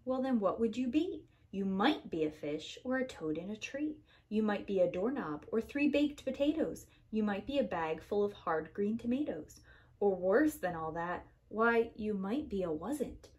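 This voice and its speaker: Expressive woman reading a children's storybook. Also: mid-range voice, normal speed. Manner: theatrical, emphatic storytelling for young children. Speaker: preschool teacher reading aloud. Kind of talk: expressive children's storybook read-aloud in rhyme.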